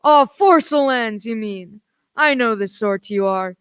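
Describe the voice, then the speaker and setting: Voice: medium pitch, measured pace, a bit bright, smooth, no room sound, studio quality, some chatter behind, lightly acted. An actor playing a wily old one-legged pirate sea cook in a radio play, speaking in folksy, cajoling pirate dialect. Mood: scheming, forceful.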